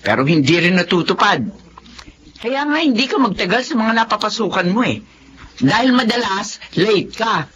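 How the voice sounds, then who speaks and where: A woman's voice: mid-range voice, sing-song, moderate pace, fairly bright tone, muffled recording. A middle-aged woman with a Filipino accent playing an exasperated, scolding mother in a radio drama. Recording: faint background noise.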